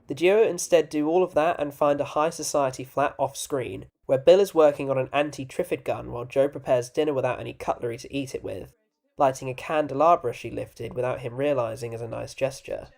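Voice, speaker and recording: A man's voice: mid-range voice, steady tone, a little fast, creaky, a little mellow. A man narrating a scripted review, dropping in informal, opinionated and wry asides. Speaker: video essay reviewer and narrator.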